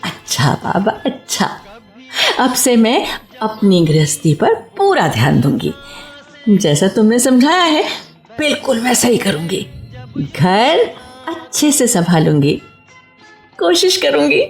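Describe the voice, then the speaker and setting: In a roomy, echoing recording, a carefree young wife in a radio play. Her voice is high and swoops expressively, chatty and playful as she makes light of her chores. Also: sing-song, takes it slowly, soft background music, full character voice. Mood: playfully contrite. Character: Vani, carefree chatty young wife who shirks housework. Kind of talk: Hindi radio play acting.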